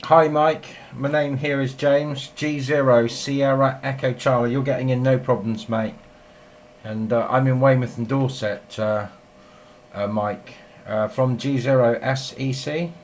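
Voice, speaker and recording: A man's voice: mid-range voice, steady tone, measured pace. An English man chatting informally as an amateur radio operator filming a casual vlog. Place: small indoor radio shack at home. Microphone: handheld camera. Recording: faint noise, home radio shack camera.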